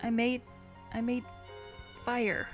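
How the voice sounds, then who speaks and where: A woman acting anxious, emotional female characters in a dramatized fantasy story, with halting delivery. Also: a little high, little pitch movement, highs cut off, soft background music.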